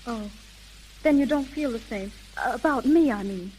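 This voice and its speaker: An actress in a 1930s radio drama playing a sweet young society daughter with a coy, probing way of talking. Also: female voice, a little high, moderate pace, mellow, soft background music, natural voice, rough recording. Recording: hissy, humming 1930s radio broadcast.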